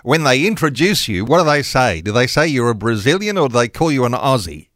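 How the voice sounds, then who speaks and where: A man's voice: medium pitch, very animated, brisk, fairly bright tone, no echo. Man, radio host, mid-range voice, upbeat chatty delivery, dry booth sound. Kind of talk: radio interview and announcements.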